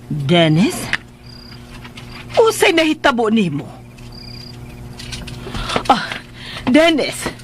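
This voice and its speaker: An actress playing a breezy, self-assured visiting aunt in a Philippine radio drama, with a languid manner and a Filipino accent. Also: female voice, fairly high pitch, wildly swooping pitch, very slow, pauses often, slight background noise, exaggerated voice. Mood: concerned. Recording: music behind the voice.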